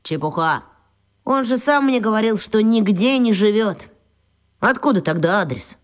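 A woman playing a brisk, stubborn schoolboy hero in a radio play. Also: medium pitch, expressive, natural voice. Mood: firm, stubborn.

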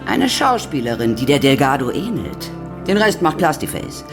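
An actress playing a cool, calculating conspirator in a radio drama, clipped and methodical. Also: female voice, sits low, big pitch swings, normal speed, over music. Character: cool, calculating female conspirator briefing a plan.

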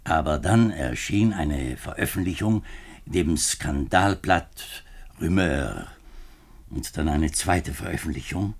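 A low-voiced, slightly rough-toned minister in a fifties radio crime play. He is earnest and formal, hesitating as he confides his troubles like a reluctant politician. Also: animated, slow, exaggerated voice. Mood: grave, explanatory. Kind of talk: 1950s German radio drama acting.

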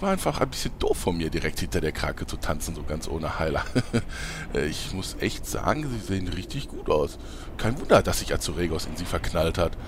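A man's voice: sits low, big pitch swings, moderate pace, background noise. A man playing a naive, goofy adventurer in a fantasy comedy audio drama, silly and rambling. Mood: sheepish.

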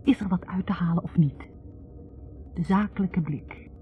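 An actress playing a wounded, bitter young academic woman confessing in a halting, accusing way in a 1960s radio drama. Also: lowish voice, faint music behind, natural voice. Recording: tinny narrow-band 1960s radio recording.

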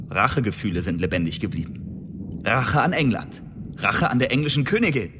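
An actor playing an anxious, eager Elizabethan secret agent in a radio drama, halting and self-justifying. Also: male voice, medium pitch, fairly bright tone, breathy edge. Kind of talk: German radio drama acting.

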